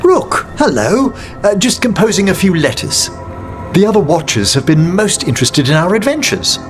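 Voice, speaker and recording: An actor playing a genteel, middle-aged necromancer in a fantasy video game, with formal, eloquent and measured diction. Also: male voice, sits high, sing-song. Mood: genial, conversational. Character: genteel, learned necromancer man, courtly and wordy. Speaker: companion character in a fantasy game. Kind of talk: dramatic video game dialogue. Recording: music behind the voice.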